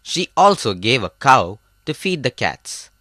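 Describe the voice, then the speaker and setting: A man narrating an animated children's story in a clear, steady storytelling way. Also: medium pitch, unhurried.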